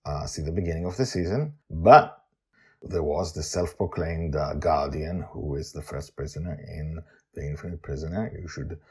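A man's voice: rumbling low, moderate pace, darkish tone, slightly rough. A man hosting a story podcast, talking informally and emphatically as he introduces and explains episodes.

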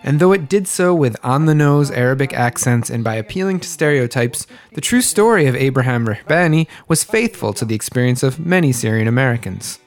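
A man hosting a history podcast, reading a scripted narration in a measured, even manner. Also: medium pitch, faint music behind. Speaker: podcast host.

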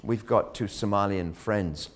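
Slow, low-voiced preacher. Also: male voice. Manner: dramatic, swells loud on key phrases then drops low. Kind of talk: sermon storytelling.

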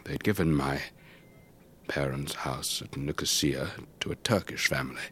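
An actor playing an elderly English retired air force officer, telling his story in a measured, wistful, old-fashioned way for a radio drama. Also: a bass voice, bright, forceful tone. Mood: wistful, reminiscing. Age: older. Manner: measured, old-fashioned, reflective, breathy trailing phrases. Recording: faint background noise.

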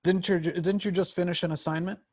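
Man playing hesitant, higher-voiced trainee agent. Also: steady tone, brisk, darkish tone. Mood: tentative. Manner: hesitant, questioning, stammering. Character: eager, unsure young male trainee agent. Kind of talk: screenplay table reading.

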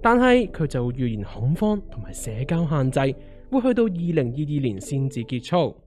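An actor with a Hong Kong accent playing a calm, reassuring investor friend, expressive and explanatory, in a scripted radio drama. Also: male voice, on the high side, normal speed, faint music behind. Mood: ominous explaining.

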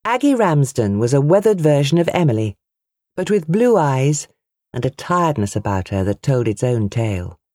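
Woman narrating an audiobook, really lively pitch, very dry close sound. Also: normal speed, no room sound. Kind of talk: dramatic scripted narration of a novel.

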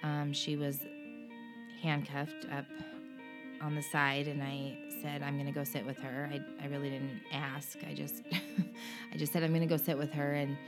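A woman guest in an interview, speaking earnestly and emotionally about her own experience, with frequent pauses and restarts. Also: low-pitched, flat delivery, over music. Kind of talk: candid personal interview.

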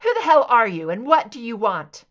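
A woman's voice: fairly high pitch, big pitch swings, moderate pace, highs cut off. A woman voicing a tough captive female federal agent, blunt, sarcastic and challenging, in a thriller audiobook. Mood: angry, demanding.

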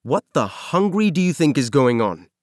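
A man's voice: fairly high pitch, sing-song, moderate pace, darkish tone, dry recording. Man acting older male engineer, stern, pedantic, condescending, demanding, audiobook character, dry close sound. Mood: scornful.

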